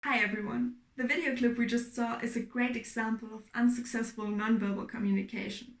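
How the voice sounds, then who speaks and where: A young woman from Germany giving a clear, explanatory class presentation as a student. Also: fairly high pitch, steady tone, reverberant. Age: young adult. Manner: clear, explanatory, measured with fillers.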